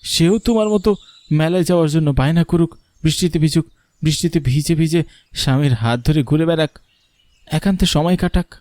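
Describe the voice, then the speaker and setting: Caring young husband for a cartoon, acted by a man; mellow, slightly high voice, gently persuasive. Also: brisk, pauses often, no echo, studio quality, lightly acted. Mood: persuasive, gentle. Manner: coaxing, reasoning. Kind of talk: animated story character acting.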